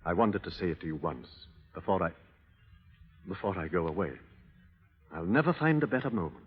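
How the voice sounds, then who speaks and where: A man's voice: deep voice, animated, a little fast. A man playing a dying, resigned doctor and devoted friend in old radio drama, wistful and fatalistic. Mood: yearning. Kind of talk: 1930s radio drama acting. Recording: muffled, reverberant old radio broadcast.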